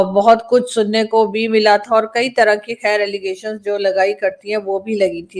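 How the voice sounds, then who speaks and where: A woman with a Pakistani accent giving wordy, explanatory political commentary to viewers, mixing in English words. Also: a little high. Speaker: news commentator.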